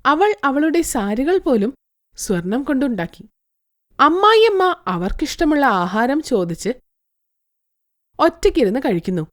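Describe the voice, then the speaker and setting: A woman's voice: sits high, very animated, a little slow, pauses often. A woman narrating a scripted moral story as a storyteller.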